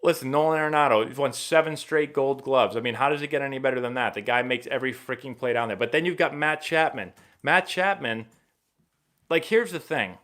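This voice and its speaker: A young man hosting a live baseball stream, chatting casually and rambling excitably as he answers viewer questions. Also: mid-range voice, fairly quick. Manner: rambling and excitable, many fillers and restarts.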